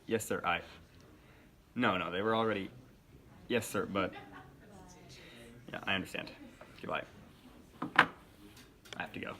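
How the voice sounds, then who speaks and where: A man's voice: low-pitched, steady tone, unhurried, long pauses, bright, forceful tone. A man playing a news co-anchor in a scripted mock newscast. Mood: flustered. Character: flustered male news co-anchor on live mock broadcast. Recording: faint background noise.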